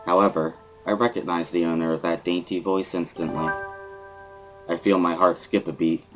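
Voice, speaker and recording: A man's voice: sits low, little pitch movement, normal speed. A man reading a story aloud as a dramatic first-person narrator. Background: guitar music.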